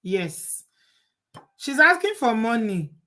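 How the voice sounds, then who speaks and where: A woman's voice: on the high side, sing-song, takes it slowly, pushed and bright. A middle-aged Nigerian woman hosting a casual live chat, reading viewer comments and asking rhetorical questions. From Nigeria, Edo State. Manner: chatty, rhetorical, laughs at the topic.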